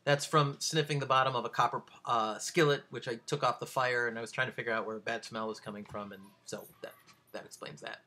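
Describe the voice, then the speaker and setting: A man speaking casually to a camera as an author, in a halting, digressive way with dry, self-deprecating deadpan humour. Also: medium pitch. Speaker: author reading a bad review of their own book. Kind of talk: casual video monologue with read-out excerpts.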